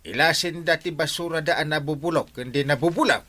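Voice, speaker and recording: A man with an Ilocano accent acting an excitable village captain in a comedy radio drama, full of drawn-out exclamations. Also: a little high, heavy background noise, close to an everyday voice. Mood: explaining, animated.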